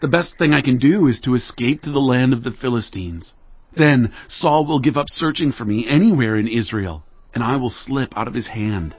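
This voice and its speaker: An actor playing a bold, earnest, righteous warrior leader in a dramatized audio Bible, with emphatic, declamatory delivery. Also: male voice, mid-range voice, normal speed, slightly rough, no room sound, muffled recording, natural voice. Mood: measured, calculating.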